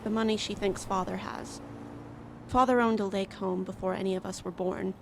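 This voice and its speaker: A woman playing a sullen, defeated youngest daughter in a radio drama, speaking in terse, resigned phrases with a creaky voice. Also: medium pitch, steady tone, moderate pace, natural voice. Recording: faint outdoor roadside noise.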